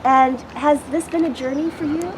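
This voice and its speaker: Woman, fairly high voice, conversational interviewer, very noisy outdoor recording with vehicles. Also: fairly even intonation, normal speed. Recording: loud outdoor ambience with vehicles.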